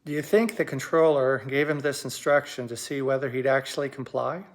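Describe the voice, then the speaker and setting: A man with a mid-range voice hosting an analysis. He speaks in a measured, explanatory way, pausing to put weight on his points. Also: mid-range voice, fairly even intonation. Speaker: host and aviation commentator. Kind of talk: explanatory commentary.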